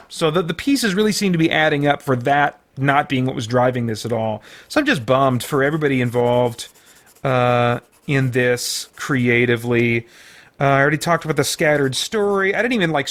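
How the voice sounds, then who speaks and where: A man's voice: medium pitch, fairly bright tone. A middle-aged man giving a casual, unscripted review as host, in a hesitant, rambling way with frequent backtracking.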